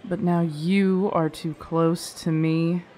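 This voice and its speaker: Woman acting a slow, languid, haughty vampire girl. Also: fairly low pitch, flat delivery, warm tone. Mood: languid, dismissive. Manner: languid, taunting, drawn-out. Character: haughty young female vampire, drugged, predatory and contemptuous. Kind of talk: audio roleplay acting. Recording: clear background noise and ambience.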